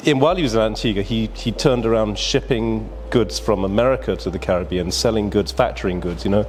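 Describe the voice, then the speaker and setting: A man's voice: on the low side, a little fast, darkish tone, a little hiss or hum. A man, a businessman guest telling his life story in a casual, rambling way, often laughing. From Barbados.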